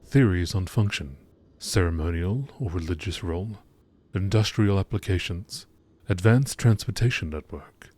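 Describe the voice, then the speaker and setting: A man reading scripted lore in a measured, formal documentary narration style. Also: low-pitched, a little mellow.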